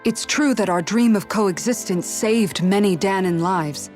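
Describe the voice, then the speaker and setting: Game role: actress playing a principled young female guard turned freedom fighter, mid-pitched, measured and sincere, music underneath. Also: darkish tone, lightly acted. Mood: regretful, reflective. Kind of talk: dramatic video game cutscene acting.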